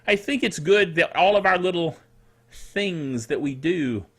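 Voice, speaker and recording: An older man with a high, bright voice hosts a casual podcast. His pitch swings widely as he leans on words and draws them out. He rambles off the cuff with playful, mock-grumpy asides and little vocal sound effects. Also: breathy edge. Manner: animated, emphatic delivery with drawn-out words. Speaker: podcast host. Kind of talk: casual solo tech show, chatty and off the cuff. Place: small home studio.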